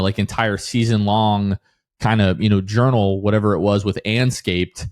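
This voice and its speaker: A man's voice: on the low side, no echo, studio quality. An American man hosting a podcast, chatting casually about sports. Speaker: podcast host.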